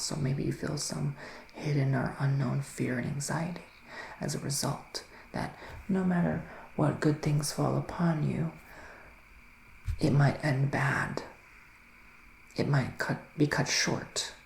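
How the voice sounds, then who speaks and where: A man playing a soothing, earnest male witch psychic in an ASMR roleplay, speaking softly and haltingly, often slipping into breathy whispers. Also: medium pitch. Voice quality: breathy soft voice drifting into whispers. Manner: hushed, halting, intimate address to listener. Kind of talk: ASMR roleplay, improvised tarot reading. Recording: roomy echo, faint background noise.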